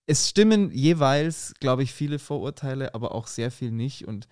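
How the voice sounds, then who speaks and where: A man from Munich with a Munich accent and a rolled R, a podcast guest who talks in a relaxed, thoughtful way, hedging a lot. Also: medium pitch, moderate pace, smooth, no room sound, studio quality. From Munich, Germany. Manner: relaxed, thoughtful, many hedges. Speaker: podcast guest, stand-up comedian. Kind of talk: casual podcast chat.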